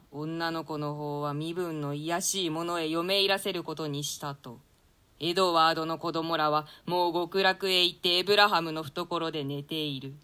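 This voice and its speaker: A ruthless usurper king, acted by a woman, in a smooth, lowish voice. The delivery is theatrical and imperious, coarse in its scorn, as in a classical stage drama. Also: fairly bright tone. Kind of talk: classical stage play reading.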